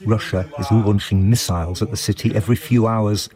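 Low-voiced male news correspondent. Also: steady tone, a crowd in the background. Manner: measured, descriptive. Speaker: foreign correspondent. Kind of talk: scripted news report narration.

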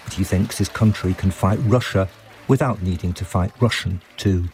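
A man narrating a scripted news report as a foreign correspondent, measured and descriptive. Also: deep voice, darkish tone, soft background music.

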